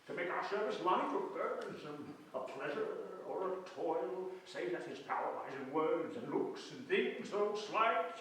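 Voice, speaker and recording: A man playing a remorseful old miser in a staged reading, speaking in a halting, emotional, theatrical way. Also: high voice, expressive, fairly bright tone. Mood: fervent. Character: Scrooge, old miser softened by remorse and nostalgia. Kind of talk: staged dramatic reading before an audience. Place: small hall stage, distant camera, audience present. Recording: echoey hall from far off, faint noise.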